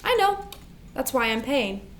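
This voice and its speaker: Playful teen older sister, teasing and generous, fairly high voice, woman acting, stage play. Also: big pitch swings, unhurried, a bit bright, slight background noise, exaggerated voice. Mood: affectionate, playful.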